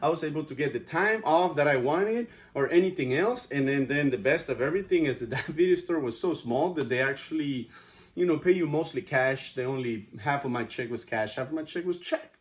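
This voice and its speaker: A man's voice: mid-range voice, lively intonation, moderate pace. A man in his thirties with a Spanish accent, Spanish his first language, giving an informal class speech with many fillers and asides. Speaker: student speaker.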